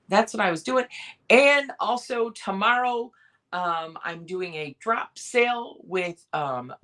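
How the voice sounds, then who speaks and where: A hoarse woman with a cough, hosting a casual live sale and chatting in a rambling way with many pauses and restarts. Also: lowish voice, a bit bright. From Michigan, USA. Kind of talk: casual live-stream chat.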